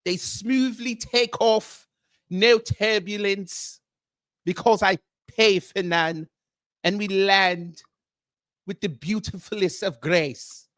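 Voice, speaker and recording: A pompous, jet-setting self-styled prince with a put-on Nigerian accent, as a man acts him out for a livestream. He talks in a high, slow, deliberate way, formal and grandiose. The recording is close and dry. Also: steady tone, fairly bright tone, no echo. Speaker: livestream host. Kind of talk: comedic character livestream.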